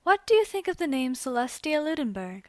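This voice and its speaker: A woman voicing a composed, elegant young gambling lady in a fan fiction reading, speaking in a measured, formal way. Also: high-pitched, big pitch swings, darkish tone, dry recording, studio quality. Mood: poised, curious.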